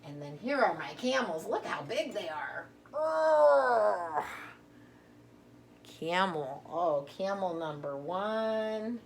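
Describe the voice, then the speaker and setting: A woman's voice: medium pitch, very animated, slow pace, slight background noise. A woman storyteller reading a children's story aloud with simple, emphatic phrasing and informal asides to young listeners.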